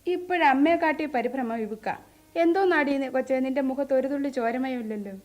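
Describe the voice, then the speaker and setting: An actress playing a young married daughter in a radio play, talking in a lively, teasing way. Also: female voice, high voice, brisk, lightly acted. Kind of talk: 1970s radio drama acting.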